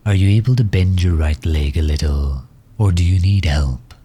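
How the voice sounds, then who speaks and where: A man playing a formal, solicitous megalomaniac villain gently tending his injured nemesis in an intimate ASMR roleplay, with a husky, breathy low voice. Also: moderate pace. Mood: gentle concern.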